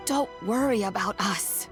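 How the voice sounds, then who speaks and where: A woman playing a composed, frank and reassuring elder in a video game, speaking in a measured manner. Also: mid-range voice, close to an everyday voice. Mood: calm, reassuring. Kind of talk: English video game voice acting. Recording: game music behind.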